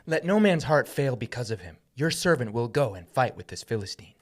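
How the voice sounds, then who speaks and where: A man's voice: mid-range voice, big pitch swings, fairly quick. A man playing a defiant, earnest young shepherd in a dramatic scripture reading. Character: defiant young Hebrew shepherd hero, David.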